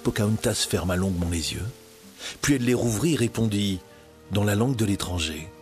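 A male narrator telling a storybook tale with a low voice. His reading is expressive and moves up and down a lot, with faint music underneath. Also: brisk.

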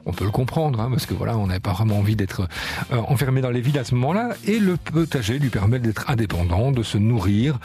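A man's voice: mid-range voice, big pitch swings, fast talker, mellow, faint music behind. A man hosting a casual radio segment, telling a musician's story in a chatty way with frequent fillers. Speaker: radio show host.

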